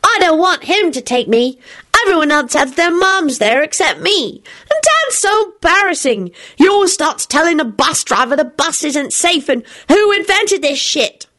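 A woman voicing an excitable young boy in a story reading, loud and pleading. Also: high voice, normal speed, pitched above the performer's own voice. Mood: sulky.

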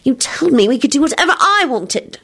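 A woman's voice: high-pitched, sing-song, a little fast, vocal fry, fairly bright tone, pitched above the performer's own voice, exaggerated voice. A woman voicing a bossy, flirtatious wife and mother in a storybook reading. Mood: grumpy.

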